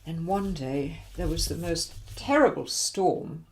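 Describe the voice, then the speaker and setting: A woman's voice: low voice, slow pace, a little mellow, rough recording. A woman reading a children's storybook aloud expressively, with dramatic emphasis for young listeners. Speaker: volunteer story reader.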